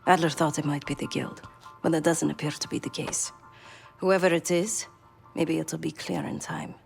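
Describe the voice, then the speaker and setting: An actress playing a hardened, guarded assassin of about thirty in a video game, speaking tersely. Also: female voice, sits low, steady tone, normal speed, vocal fry, faint music behind. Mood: guarded, matter-of-fact. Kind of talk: dramatic video game dialogue.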